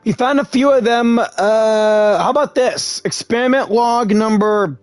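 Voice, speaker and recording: A male gaming creator comments casually as he plays. He speaks slowly and informally, in a laid-back manner. The sound is dry, with game music behind. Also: sits high, no echo. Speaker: gaming video creator playing along. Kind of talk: casual game commentary, reading dialogue choices aloud.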